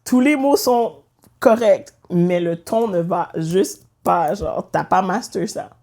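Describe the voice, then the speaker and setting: A woman with a Québécois accent speaks in a slightly low voice during a casual podcast conversation. She asks her questions directly and drops English words into her talk. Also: slow pace, a little mellow. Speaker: podcast co-host. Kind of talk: casual chat.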